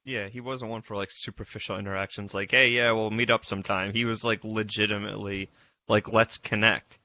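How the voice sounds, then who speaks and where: A man's voice: fairly low pitch, level tone, fairly quick, pushed and bright, dry recording, lo-fi sound. A man chatting casually as a panelist, reminiscing in a group call. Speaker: podcast panelist.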